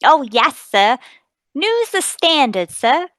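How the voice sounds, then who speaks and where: A woman's voice: high-pitched, big pitch swings, takes it slowly, a bit bright, dry recording, studio quality, pitched above the performer's own voice, exaggerated voice. A woman voicing an eager young street newspaper seller calling out headlines in a radio drama. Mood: eager, urgent. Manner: declaiming, singsong street cry.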